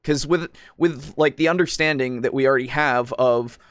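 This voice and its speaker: A man's voice: mid-range voice, normal speed, no echo, studio quality. A man co-hosting a casual tabletop roleplaying discussion, explaining things in a rambling way with some swearing. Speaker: podcast co-host.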